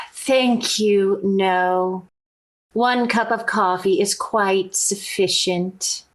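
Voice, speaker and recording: A domineering, middle-aged matron in vintage radio comedy. She is haughty and precise, with a formal, withering delivery at an ordinary female pitch. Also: fairly even intonation, extremely slow, rough recording. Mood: prim, impatient. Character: haughty, disapproving society mother and domineering wife. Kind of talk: 1940s radio sitcom acting.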